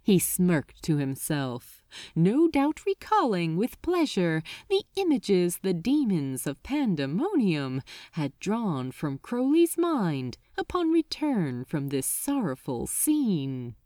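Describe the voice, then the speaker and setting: Casting: female audiobook reader, slightly low voice, very animated intonation, measured dramatic narration. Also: dry recording, studio quality. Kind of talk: expressive scripted story narration.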